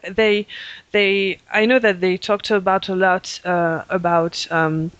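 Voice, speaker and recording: Male podcast host, casual and halting, dry mic. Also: high voice, little pitch movement, fairly bright tone, smooth, no room sound, muffled recording. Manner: hesitant, many fillers, laughs often. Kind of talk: casual chat.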